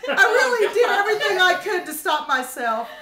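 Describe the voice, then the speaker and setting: A woman's voice: very high-pitched, sing-song, normal speed. A woman reading a comic story aloud to friends, breaking into laughter as she goes. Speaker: reader. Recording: noisy, echoey home video recording. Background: listeners laughing and exclaiming.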